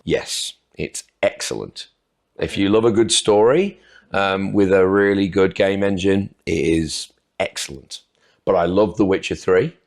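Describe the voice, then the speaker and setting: A man answers interview questions, his voice sitting very low and heavy. He talks in a relaxed, rambling way and pokes gentle fun at himself. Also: vocal fry. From North Wales. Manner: unhurried, rambling, self-deprecating. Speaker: podcast guest. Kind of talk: casual interview chat.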